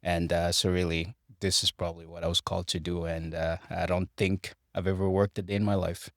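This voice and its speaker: A man in his forties from Cameroon, a construction director answering interview questions in a measured, reflective way with frequent restarts. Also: sits low, flat delivery, no echo, clean studio sound. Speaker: construction director, podcast guest. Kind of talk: interview conversation.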